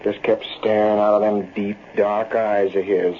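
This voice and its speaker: An actor playing a folksy cowboy storyteller with a rural Western American accent, narrating a yarn in old-time radio style. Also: male voice, lowish voice, a little slow, natural voice. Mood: confiding. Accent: rural American West. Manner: folksy, animated yarn-spinning. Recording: muffled, noisy vintage radio recording.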